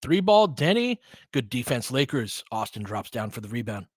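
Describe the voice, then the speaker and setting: A man doing casual live basketball play-by-play as a fan analyst, in clipped phrases, swinging between muttered asides and excited calls. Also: mid-range voice, moderate pace, husky, no echo, studio quality.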